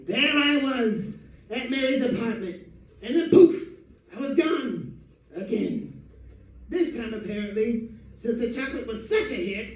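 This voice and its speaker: A man playing a bewildered, boastful 1940s private eye in a theatrical, wisecracking old-time radio monologue. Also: extremely high pitch. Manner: melodramatic, wisecracking diary narration. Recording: echoey room, distant microphone.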